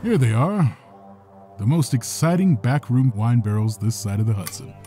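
A man's voice: mid-range voice, sing-song, normal speed, warm tone, soft background music, lightly acted. An actor playing a wary, sarcastic man in an audio drama, with a dry, sardonic manner. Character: uneasy, sarcastic man showing off his backroom.

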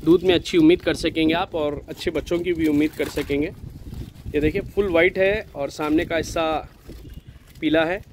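A man's voice: a little high, steady tone, normal speed, a little hiss or hum. A man with a Hyderabadi accent, a goat farm owner giving a chatty, persuasive sales walkthrough outdoors in a goat pen. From India.

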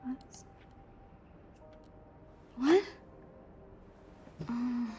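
A woman's voice: on the high side, extremely slow, loud music behind the voice. A young woman playing an eager, restless American teenage girl who mutters to herself in a video game. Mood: excited muttering. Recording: clear ambient noise.

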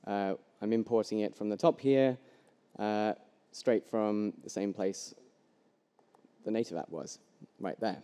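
Young tech speaker, relaxed and loose, deep-ish tone, headset mic in an echoing hall. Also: male voice, measured pace, clear, resonant tone. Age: young adult. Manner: casual, loose, many ums and restarts. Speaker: conference speaker. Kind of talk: live coding demo talk. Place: large hall with long reverb. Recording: echoey hall.

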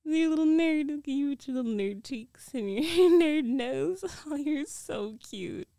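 An older, dominant girlfriend acted by a woman in an intimate ASMR roleplay. She speaks slowly in a soft, dark voice with gravelly fry at the edges, playful and teasing, often sinking toward a whisper. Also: high-pitched, expressive, no echo, studio quality. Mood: playful, mocking. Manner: teasing, giggly, slow, often dropping to a whisper.

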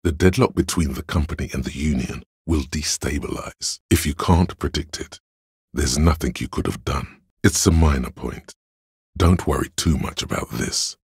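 Extremely deep, gravelly voice of logic. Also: male voice, dry recording, clean studio sound, heavily acted. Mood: analytical, cool. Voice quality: gravelly, husky. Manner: measured, cerebral, matter-of-fact. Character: man's inner voice of cold logic, analytical and measured. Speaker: video game voice actor. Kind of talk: scripted game narration of inner thoughts.

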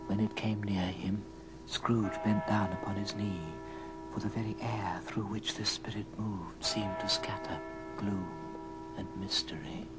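A man narrating an old radio drama as a dramatic storyteller, with theatrical, deliberate phrasing. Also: lowish voice, little pitch movement, natural voice, poor audio. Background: music.

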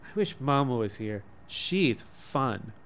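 A man voicing a lively little girl who pleads in childish grammar in a story. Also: mid-range voice, slow pace, exaggerated voice. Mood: pleading, pouting. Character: lively, pleading little girls wanting to play.

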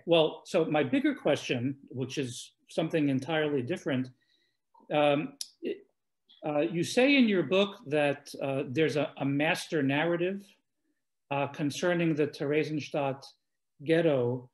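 Hesitant older man interviewer, higher voice. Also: a little slow. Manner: hesitant, many fillers and restarts, courteous. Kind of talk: webinar interview. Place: home room by a lake. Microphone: video call.

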